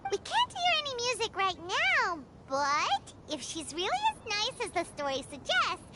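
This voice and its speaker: A woman voicing a tiny, excitable, whiny childlike fairy companion in a video game, squeaky and exclamatory. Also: normal speed, heavy background noise, exaggerated voice. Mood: eager. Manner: talks about self in third person, exclamatory. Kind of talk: video game character acting.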